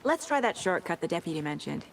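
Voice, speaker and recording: A woman's voice: fairly low pitch, big pitch swings, quick pace. A woman playing a composed, driven FBI agent in a video game, speaking in a focused, analytical, matter-of-fact way. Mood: brisk, decisive. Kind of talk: cinematic video game acting. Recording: clear background ambient noise.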